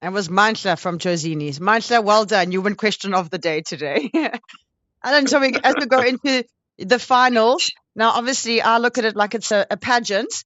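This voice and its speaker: A woman's voice: medium pitch, moderate pace, fairly bright tone, no room sound, lo-fi sound. A South African woman hosting a live radio interview in a chatty, effusive and informal way.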